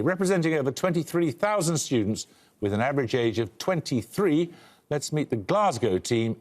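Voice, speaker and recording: A man hosting a television quiz show, reading questions and introductions in a crisp, dry, authoritative way with wry asides. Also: mid-range voice, darkish tone. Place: television studio.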